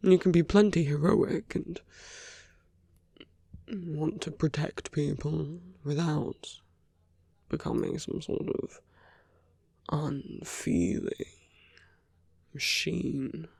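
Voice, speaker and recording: A man playing a teasing villain, slow and breathy. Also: on the high side, dry recording, studio quality, heavily acted. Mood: earnest, gentle. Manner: soft, teasing, often drops to a whisper. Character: teasing charming male villain, flirtatious, secretly tender. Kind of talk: intimate ASMR roleplay, scripted monologue.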